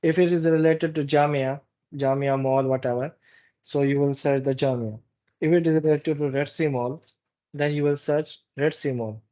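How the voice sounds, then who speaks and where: A man giving a live software training walkthrough in a halting, instructive way, often repeating phrases. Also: fairly high pitch, steady tone. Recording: dull, muffled lo-fi meeting recording.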